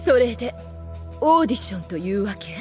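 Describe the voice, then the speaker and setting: A woman's voice: on the high side, expressive, fairly quick, darkish tone, soft background music, close to an everyday voice. A woman playing a proud, scheming demon lady in an anime audio drama, with refined, condescending phrasing and a theatrical manner. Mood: startled, defensive.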